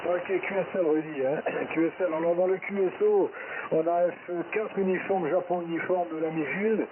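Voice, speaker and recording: A man's voice: high-pitched, fairly even intonation, normal speed, crowd noise. A man working as an amateur radio operator, casually passing the microphone around with phonetic call signs. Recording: hissy, noisy narrow-band shortwave radio.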